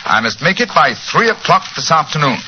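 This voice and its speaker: An actor playing a shrewd, eager gentleman detective, courteous and probing with dramatic flourishes, in a 1940s radio drama. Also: fairly high pitch, sing-song, brisk, heavily acted. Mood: intense. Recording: muffled, noisy 1940s radio recording.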